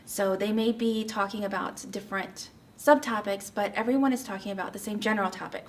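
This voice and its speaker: A woman's voice: medium pitch, steady tone, brisk. Young-ish woman volunteer explaining her class, kinda far from the mic, light hiss. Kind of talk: explaining program in interview. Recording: distant mic, faint noise.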